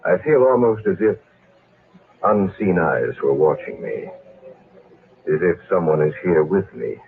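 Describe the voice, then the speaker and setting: Deep-voiced, solemn radio storyteller. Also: male voice, expressive, gravelly, crowd noise, exaggerated voice. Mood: grave, haunted. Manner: solemn, measured, portentous. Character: grave man reading a dead friend's diary aloud. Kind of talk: old-time radio dramatic narration. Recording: muffled, noisy old radio recording.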